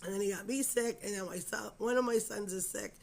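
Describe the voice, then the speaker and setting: A woman with a cold and a raspy, scratchy voice, hosting a craft channel in a rambling, chatty way and apologising often, full of fillers. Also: mid-range voice, brisk, a little mellow. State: hoarse from a cold. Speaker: crochet channel host. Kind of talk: casual chat to camera.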